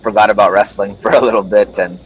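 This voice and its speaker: A young man raised in Japan, an MMA fighter, chatting in a relaxed, friendly way with lots of fillers, sore from hard training. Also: fairly low pitch, fast, over a noisy crowd. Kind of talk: casual interview over a call. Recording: dull lo-fi audio with faint noise.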